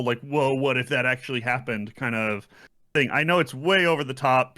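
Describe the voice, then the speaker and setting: A man's voice: medium pitch, fairly bright tone. A man co-hosting a podcast in casual review chat, explaining things and qualifying his points as he goes. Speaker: podcast co-host.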